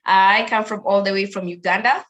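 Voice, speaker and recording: A woman's voice: mid-range voice, steady tone, moderate pace, a bit bright, lo-fi sound. A woman from Kenya introduces herself as a panelist at an online forum. She speaks from her phone on a video call, sounding eager and upbeat, with frequent ums and uhs. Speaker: panelist, digital activist.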